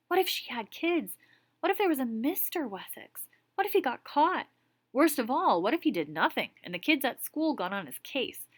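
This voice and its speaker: A woman teacher reads a children's story aloud. Her voice sits a little high and sounds close and dry, with no room around it. She often stops mid-passage to explain vocabulary and ask her listeners what they think. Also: bright, forceful tone, no echo. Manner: reads the story text, then stops to define words and ask checking questions.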